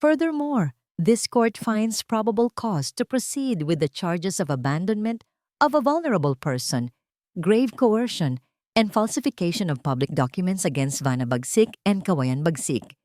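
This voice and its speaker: A woman voicing a stern court judge who delivers a formal ruling in a measured, authoritative way. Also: medium pitch, sing-song, a little mellow, dry recording, studio quality.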